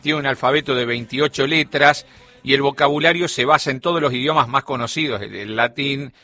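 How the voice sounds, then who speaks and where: A man's voice: mid-range voice, fairly quick, pushed and bright. A middle-aged man with a Rioplatense Spanish accent, explaining a topic as a radio columnist in a studio chat. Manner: explanatory, storytelling delivery.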